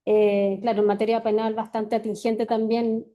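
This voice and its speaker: A woman's voice: a little high, flat delivery, normal speed, highs cut off. A woman moderating an academic seminar, polite and formal. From Chile. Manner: polite, formal, introduces speakers.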